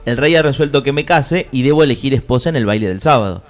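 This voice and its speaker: A man's voice: mid-range voice, animated, quick pace, lightly acted. A man playing a cocky, griping young prince in comic radio theatre, blunt and slangy, with an Argentine accent.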